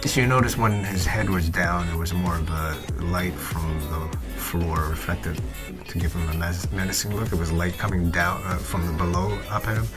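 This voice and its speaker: A man with a very low voice narrates a digital art demonstration. He speaks off the cuff, halting and searching for words as he thinks aloud. Guitar music plays clearly behind his voice. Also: steady tone. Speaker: digital art tutorial presenter. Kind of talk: unscripted software tutorial voice-over.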